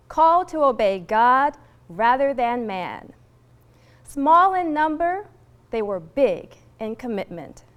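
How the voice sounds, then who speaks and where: Female reader, high voice, unhurried declamatory delivery of a historic text. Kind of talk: public reading of a historic letter.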